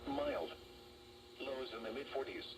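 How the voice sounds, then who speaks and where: A man's voice: mid-range voice, huge pitch swings, measured pace, gravelly. Very noisy radio weather bulletin, male voice. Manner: clipped, impersonal phrase-by-phrase reading. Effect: heard through a weather radio speaker. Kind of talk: scripted weather bulletin read out. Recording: very noisy small radio speaker playback.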